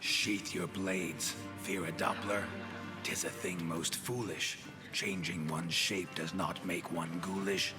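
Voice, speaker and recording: An actor playing a male witcher monster slayer in a stage play in a video game, delivering rhyming verse in a grave, measured and moralizing way. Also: low voice, steady tone. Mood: stern. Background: game music.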